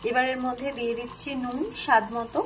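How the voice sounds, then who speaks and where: A woman with a Bengali accent and a high voice plainly explains each step of a home recipe. She speaks calmly while she cooks at the stove. Faint frying and stirring sounds are heard behind her. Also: quick pace, background noise. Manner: calm, plain instructional tone, listing ingredients. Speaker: cooking channel host.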